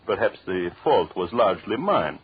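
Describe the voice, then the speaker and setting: An actor playing a vengeful, coldly sinister husband with formal, mock-polite speech in an old-time radio drama. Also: male voice, deep voice, sing-song, moderate pace, slightly rough, no room sound, exaggerated voice. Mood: mock courteous. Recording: muffled, narrowband old radio broadcast.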